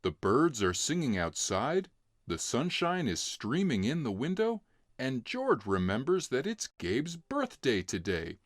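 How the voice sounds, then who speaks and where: A man narrating a children's storybook in an expressive read-along style. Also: medium pitch, a little slow, no room sound. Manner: expressive, clearly acting out dialogue. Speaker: narrator reading a children's book.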